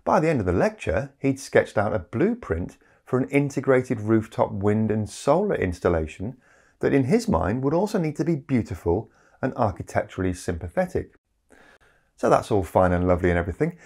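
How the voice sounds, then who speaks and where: A man's voice: medium pitch, a little mellow, husky. A man presenting a scripted explainer in a conversational way, with rhetorical asides. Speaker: video presenter. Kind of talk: scripted explainer narration to camera. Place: small indoor home study. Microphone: lapel mic.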